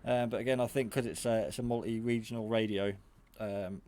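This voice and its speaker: A man's voice: on the low side, steady tone, fairly quick, mellow. A man giving a casual, hands-on product demonstration in a hesitant, rambling, matter-of-fact way. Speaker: hobbyist radio reviewer. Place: garage.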